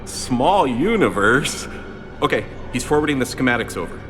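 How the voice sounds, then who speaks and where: A man playing an eager, bumbling, apologetic fan of a space commander in a video game, excitable and easily flustered. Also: mid-range voice, moderate pace, music in the background, close to an everyday voice. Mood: flustered, helpful.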